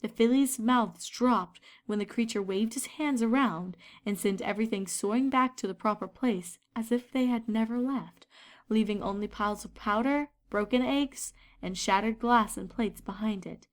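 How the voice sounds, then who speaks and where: A woman's voice: a little high, moderate pace. A woman reading scripted story narration as the narrator of a fan fiction.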